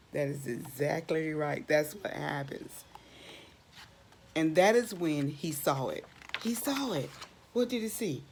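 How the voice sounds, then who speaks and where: A woman reads a children's storybook aloud in a slightly low voice. She goes very slowly and dramatically, repeating phrases for emphasis and putting questions to her young listeners. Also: darkish tone. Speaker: storybook read-aloud reader.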